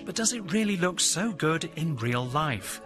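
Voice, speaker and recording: Male travel voice-over, measured and lively, over loud music. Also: a little high. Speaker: documentary narrator. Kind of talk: scripted travel narration.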